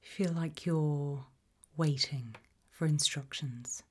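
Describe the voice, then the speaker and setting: Breathy, whispering British actress as a female investigator. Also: low-pitched, full character voice. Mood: calm, curious. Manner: gentle, measured questioning with pauses. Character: calm, probing female investigator questioning an alien abductee. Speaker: ASMR roleplay performer. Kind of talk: ASMR roleplay, whispered and soft-spoken interview.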